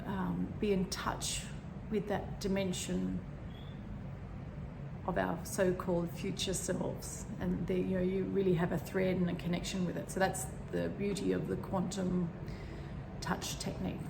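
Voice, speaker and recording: A woman with a slightly croaky voice, gently talking through a meditation and often pausing to find her words. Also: lowish voice, level tone. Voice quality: croaky, frog in throat. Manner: gentle, rambling, searching for words. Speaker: meditation teacher. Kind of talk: guided meditation talk. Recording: echoey room with background noise.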